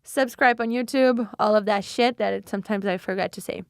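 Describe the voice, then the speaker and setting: A woman's voice: mid-range voice, normal speed, creaky, clean tone, no echo, clean studio sound. A young woman from Brazil with a Brazilian Portuguese accent, hosting a solo podcast in a chatty, reflective way, with fillers and restarts. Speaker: podcast host.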